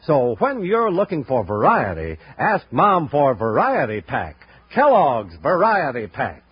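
A man's voice: medium pitch, wildly swooping pitch, measured pace. A man announcing in a polished, emphatic 1950s radio style, selling to the audience from a script. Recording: dull old radio broadcast, music behind. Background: music.

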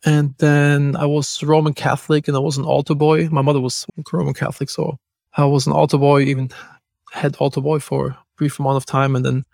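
Casual german-accented guy hosting a podcast, rattles along quick, gravelly, dry mic. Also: on the high side, level tone, darkish tone, no room sound. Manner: fast, loose talk with many restarts and fillers. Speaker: podcast host.

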